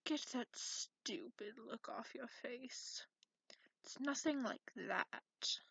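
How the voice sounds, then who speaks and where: A woman voicing a cranky, sarcastic, sleepy dragon in a breathy, half-whispered ASMR roleplay, drawling haughtily. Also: fairly high pitch, slow pace, fairly bright tone, no echo, heavily acted. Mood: grudgingly indulgent. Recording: muffled lo-fi close recording.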